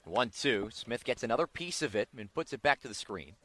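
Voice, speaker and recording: Bright-voiced American man calling baseball. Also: medium pitch. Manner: excited, drawn-out calls on big plays. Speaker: baseball play-by-play announcer. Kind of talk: live sports radio commentary.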